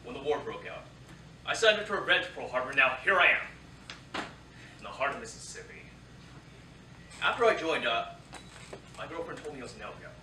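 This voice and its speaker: A man's voice: mid-range voice, very animated, normal speed, pauses often, bright, forceful tone. An actor playing a shy, earnest young Hawaiian-born Japanese-American soldier, projecting as he reads wartime letters on stage. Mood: earnest, self-describing. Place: theatre stage, live staged reading. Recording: echoey theatre, distant mic, faint noise.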